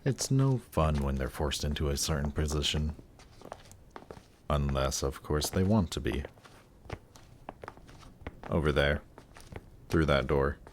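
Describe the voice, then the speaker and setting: A man playing a suave, patient, seductive demon prince in a fantasy roleplay audio drama, speaking in a teasing, coaxing, measured way. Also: a bass voice, animated, a little hiss or hum, exaggerated voice. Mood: smooth, self-assured. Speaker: voice actor. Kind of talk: slow, intimate roleplay audio drama performance.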